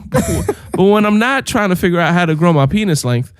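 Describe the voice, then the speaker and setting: A young man co-hosting a podcast, ranting about what's on and swearing freely. His voice sits fairly high and the close mic makes it dry, with little room sound. He keeps laughing as he talks. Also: sing-song, normal speed, clean tone, no room sound. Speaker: podcast co-host. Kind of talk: casual chat.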